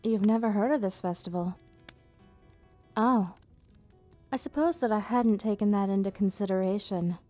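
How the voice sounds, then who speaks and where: A woman playing a gentle, nostalgic vampire hostess in an ASMR roleplay, speaking softly in slightly formal, unhurried explanations. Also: medium pitch, natural voice. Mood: welcoming, gentle. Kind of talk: ASMR roleplay, soft storytelling. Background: background music.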